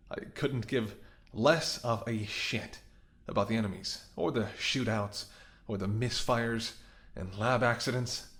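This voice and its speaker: A fiercely loving, protective ex-bodyguard, acted by a man in a romantic audio roleplay. His voice is on the low side, and he speaks with earnest, stubborn intensity, close and intimate. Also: a bit bright. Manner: earnest, emphatic, emotionally intense, swearing.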